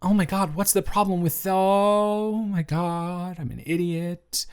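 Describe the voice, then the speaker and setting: A man's voice: high voice, fairly even intonation, takes it slowly, darkish tone, clean tone. A young man playing a teasing, affectionate best friend of someone's brother in a casual, slangy romantic roleplay audio drama. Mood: flustered.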